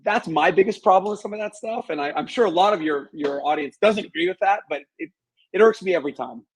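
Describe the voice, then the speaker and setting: A man's voice: high-pitched, quick pace, slightly rough. A man talking as an interview guest, giving his opinions in an analytical, emphatic conversational way.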